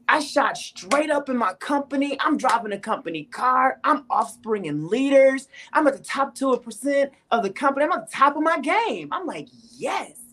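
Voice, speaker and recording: A woman's voice: high-pitched, fairly bright tone. A woman in her thirties telling her personal testimony in an interview, emphatic and repeating phrases for weight. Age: 30s. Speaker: interview guest.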